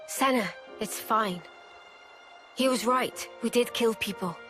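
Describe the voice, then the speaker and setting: An actress playing a gentle, guarded young woman soldier in a video game cutscene, speaking softly and in a measured way. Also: on the high side, over music. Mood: sombre, reflective.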